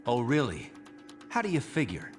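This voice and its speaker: An actor playing a principled soldier superhero leader, earnest and firm, in a video game cutscene. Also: male voice, medium pitch, wildly swooping pitch, moderate pace, faint music behind. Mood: defiant.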